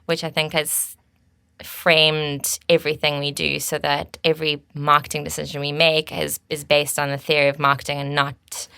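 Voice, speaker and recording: A woman's voice: sits low, little pitch movement, moderate pace, gravelly, pushed and bright, smooth, no room sound, clean studio sound. A South African woman in her thirties co-hosting a casual podcast, fluent and chatty, with frequent ums and small restarts. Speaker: podcast co-host, agency co-founder.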